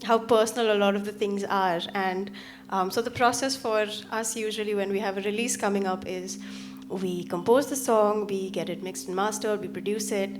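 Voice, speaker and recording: Brief: young woman, singer chatting between songs, earnest and halting, mid-range voice. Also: mid-range voice, steady tone, slight background noise. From Sikkim, India. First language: Nepali. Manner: earnest, hesitant, many ums and restarts. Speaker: singer-songwriter performing live. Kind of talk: talking to the audience between songs. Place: stage at a live arts event.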